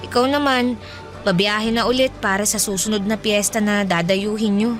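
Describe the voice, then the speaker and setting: An actress playing a soft-spoken, sensible teenage girl who gently reasons about young love in a radio drama. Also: mid-range voice, fairly even intonation, normal speed, music in the background, close to an everyday voice. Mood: tender.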